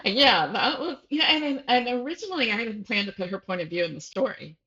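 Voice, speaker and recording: A woman's voice: medium pitch, lively intonation, fast talker, bright, forceful tone. A woman speaking as a guest author in a casual interview, conversational and thinking aloud, with self-deprecating laughs. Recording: slightly dull, muffled audio.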